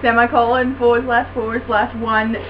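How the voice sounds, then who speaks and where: A woman's voice: on the high side, flat delivery, moderate pace. A young woman joking around with a friend, playful and teasing. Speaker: vlogger's friend. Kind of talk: casual chat. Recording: muffled webcam audio with mains hum.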